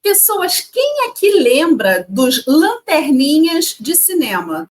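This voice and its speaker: A woman's voice: high-pitched, wildly swooping pitch, takes it slowly. A woman co-hosting a casual chat, reading parts of a script and talking chattily to viewers. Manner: chatty, addresses audience as "gente". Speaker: co-host.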